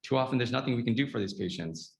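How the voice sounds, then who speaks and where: A man speaking as a trauma surgeon at a summit, in an earnest, halting way with many fillers and restarts. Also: medium pitch, animated, creaky, echoey room. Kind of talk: spoken talk at a summit.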